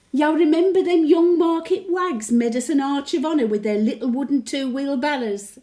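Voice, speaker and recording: Woman acting a high-voiced, slow-talking elderly Brummie widow. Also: dark tone, close to an everyday voice. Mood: fond, wistful. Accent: Brummie (Birmingham). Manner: folksy dialect, wistful and chatty. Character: chatty elderly Birmingham widow, sentimental, cheeky, plain-speaking. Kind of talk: dramatic monologue, conversational reminiscence.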